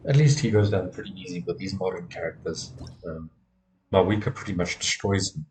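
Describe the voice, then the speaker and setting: A man's voice: deep voice, brisk, darkish tone, slight background noise. A man giving casual live gameplay commentary, muttering to himself and often repeating words. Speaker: game streamer. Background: game music.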